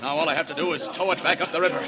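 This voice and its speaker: An actor playing a brisk, confident reporter with a secret heroic side, clipped and decisive in 1940s radio serial style. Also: male voice, fairly high pitch, fairly even intonation, crowd noise, natural voice. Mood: bold, determined. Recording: noisy old radio recording.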